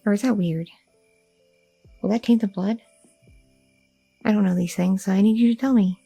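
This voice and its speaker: A young woman playing a loving, chatty goth girlfriend in an ASMR roleplay, talking softly and intimately with lots of fillers, close to the mic. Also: medium pitch, fairly even intonation, moderate pace, gravelly, breathy edge, no echo, faint music behind. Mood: tender, candid. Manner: soft, intimate, rambling with many fillers.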